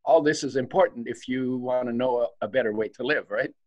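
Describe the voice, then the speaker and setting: A man's voice: mid-range voice, fairly quick, darkish tone, dry recording, dull audio. A man in his seventies, raised in Poland and German-speaking at home, telling his life story as a guest, reflective and laughing between sentences, on a video call from a study. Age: 70s. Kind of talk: personal storytelling. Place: home study lined with bookshelves.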